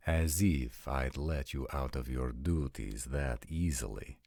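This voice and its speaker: A man playing a formal, commanding vampire nobleman in a roleplay audio drama, with a gravelly, husky voice and deliberate phrasing. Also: rumbling low, darkish tone, dry recording, clean studio sound, heavily acted. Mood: measured, guarded. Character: commanding, arrogant male vampire nobles in tense parley.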